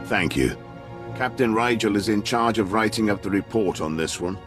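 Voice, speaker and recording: Casting: male actor for stern Imperial colonel, deep register, formal and pompous, game cutscene with strong music bed. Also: normal speed, natural voice. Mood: grave, confident. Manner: formal, pompous, deferential military briefing. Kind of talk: scripted game cutscene acting.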